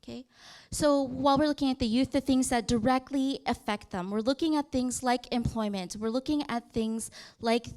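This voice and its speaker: Woman giving a slide presentation, slightly higher pitch. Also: moderate pace, a little mellow. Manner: conversational, with frequent fillers and self-corrections. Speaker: youth program presenter. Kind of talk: conference presentation walking through slides.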